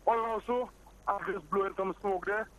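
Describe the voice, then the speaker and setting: A man's voice: high voice, fairly even intonation, normal speed, fairly bright tone. A man with a Saint Lucian Caribbean accent phoning in to a show, talking casually with patois. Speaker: caller to a live show. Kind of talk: casual patois-flavoured talk. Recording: slightly noisy phone call.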